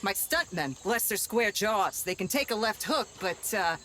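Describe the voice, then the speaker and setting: Un réalisateur exubérant et charmeur. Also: male voice, sits high, lively intonation, pushed and bright, heavily acted. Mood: flattering showman. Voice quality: theatrical, gushing, fast-shifting. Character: flamboyant male film director, brash schmoozer. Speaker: film director character. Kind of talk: video game cutscene voice acting.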